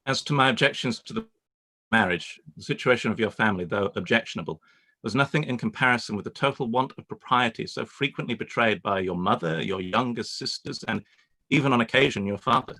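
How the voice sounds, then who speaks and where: An actor playing a proud, reserved young gentleman reading out a formal letter in a measured, stiff manner. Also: mid-range voice. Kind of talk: live-read radio play.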